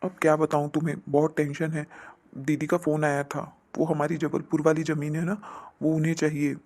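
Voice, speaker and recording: Man playing a worried husband, flat and highish. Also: fairly quick. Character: troubled husband and brother, weary and worried. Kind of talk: Hindi family melodrama for animated moral story.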